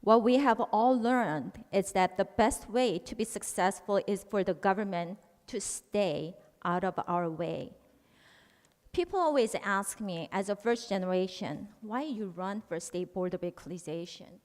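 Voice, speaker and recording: A woman's voice: mid-range voice, unhurried, a little mellow. Korean-accented woman at a podium, personal speech with restarts, hall echo all around. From South Korea. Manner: personal, with restarts and broken grammar. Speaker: guest speaker. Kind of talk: speech at an event.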